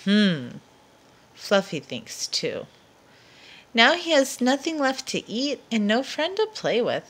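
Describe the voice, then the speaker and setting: A woman reads a picture book aloud for young children. Her pitch moves around a lot and she takes her time, placing dramatic emphasis on key words in an expressive storyteller's style. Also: measured pace.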